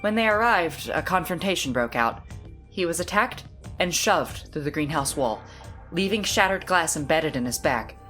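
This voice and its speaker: A woman playing a composed, logical young investigator in an audio drama, explaining in a measured, methodical way. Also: low voice, lively intonation, fairly bright tone, faint music behind.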